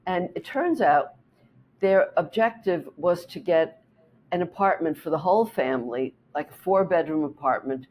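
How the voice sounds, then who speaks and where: A woman real estate agent whose first language is German, calmly explaining her work and telling a client story in an interview. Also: lowish voice, normal speed, highs cut off, a little hiss or hum. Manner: steady, explanatory, with small restarts.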